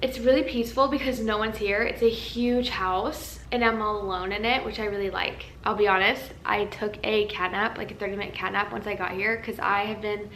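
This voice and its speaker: A young woman vlogging in a casual, chatty way, tired and a little raspy from a nap, in a large empty house. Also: mid-range voice, fairly even intonation, fairly quick, hardly any pauses, a bit bright, roomy sound, a little hiss or hum, poor audio. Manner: chatty, rambling, earnest. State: tired, slightly raspy from just waking up. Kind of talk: casual chat to camera. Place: large empty house used as a wedding venue.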